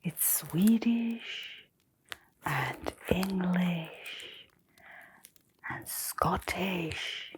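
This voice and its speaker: Slow whispering woman as a mysterious bookshop keeper. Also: fairly low pitch. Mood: gentle, musing. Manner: slow, hushed, soothing, with long pauses. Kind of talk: whispered storytelling ASMR roleplay.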